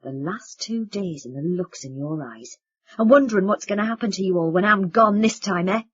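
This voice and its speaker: A woman reading an audiobook, voicing a bitter young man who lashes out at his family with loud, sarcastic accusations. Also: mid-range voice, normal speed, husky, dull audio, close to an everyday voice.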